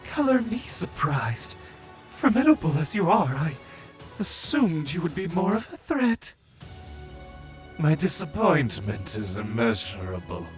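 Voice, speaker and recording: A mad zealot of a fantasy audio roleplay, serving a moon goddess with preacherly grandeur. The voice sits fairly high and sweeps dramatically up and down. Each phrase is drawn out slowly, like a villain's proclamation. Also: male voice, sing-song, soft background music. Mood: mocking calm.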